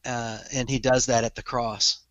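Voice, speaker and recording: Easygoing Texan man, bright tone. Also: mid-range voice, little pitch movement, moderate pace, dry recording. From Texas. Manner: easygoing, chuckles often, repeats words. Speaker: podcast guest. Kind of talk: casual interview chat.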